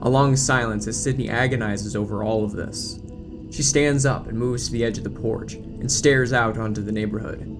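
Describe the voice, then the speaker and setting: A man's voice: lowish voice, steady tone, moderate pace, music in the background. A man reading scripted, dramatic narration as the narrator of an audio drama.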